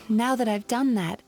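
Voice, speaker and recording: Gentle, composed dream-spirit woman, slightly high. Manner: measured, reflective, reassuring. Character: gentle, composed young female dream-eating spirit psychologist. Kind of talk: video game character dialogue.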